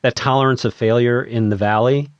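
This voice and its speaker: A man's voice: medium pitch, steady tone, fairly quick, clear, resonant tone, no room sound, dull audio. A man hosting an interview podcast, introducing and questioning his guest. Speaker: podcast host.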